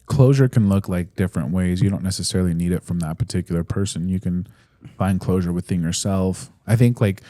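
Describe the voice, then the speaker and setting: A man in his thirties co-hosting a podcast in casual chat, reading listener comments aloud in a relaxed, hesitant way with fillers. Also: deep voice, fairly quick, dry recording, clean studio sound. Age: 30s. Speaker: podcast co-host.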